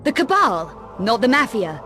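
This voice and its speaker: Cool female adventurer, dry, probing, expressive pitch, video game cut-scene, loud music underneath. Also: a little slow, full character voice. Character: tough, cool, sardonic young female adventurer.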